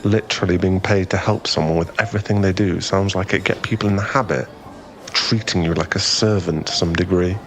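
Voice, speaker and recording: A man's voice: low voice, steady tone, brisk, a bit bright. A man playing a friendly, easily flustered young guy in a conversational ASMR boyfriend roleplay, with a hesitant, rambling, self-effacing way of talking. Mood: sympathetic, reassuring.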